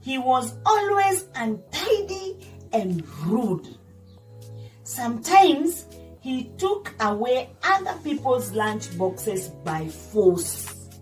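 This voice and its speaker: A woman with a fairly high voice reads a children's story like a teacher in class. Her delivery is very slow and didactic, stopping to repeat and explain. She is recorded a little away from the microphone, with music behind her. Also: sing-song, a little mellow, distant mic, rough recording. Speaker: teacher reading a story aloud. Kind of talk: read-aloud children's story with simple explanatory asides.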